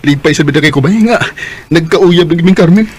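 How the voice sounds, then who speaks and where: A man's voice: a little high, measured pace, breathy edge. A man playing an earnest, hesitant, lovestruck young Cebuano working man in a Filipino radio drama. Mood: overjoyed. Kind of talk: Bisaya radio drama acting. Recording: music behind the voice.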